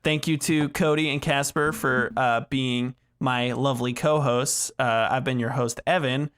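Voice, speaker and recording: A man's voice: mid-range voice, steady tone, measured pace, fairly bright tone, smooth. A man hosting a casual gaming podcast, chatting in a jokey, loose way. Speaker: podcast host. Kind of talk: casual podcast chat about video games.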